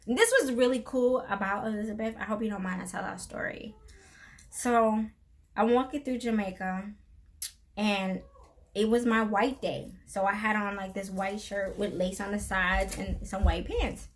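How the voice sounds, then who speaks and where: A woman's voice: mid-range voice, fairly even intonation, unhurried. A middle-aged woman co-hosting a casual live stream, chatty and laughing often as she tells stories.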